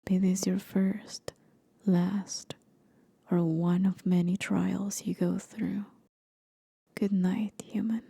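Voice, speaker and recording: A gentle old demon of the forest, played by a woman, murmurs in a very slow, intimate half-whisper. The voice is dark and soft with a creaky edge, soothing and a little mysterious, in the manner of an ASMR roleplay. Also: mid-range voice, flat delivery, no room sound, clean studio sound, heavily acted. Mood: tender, hushed.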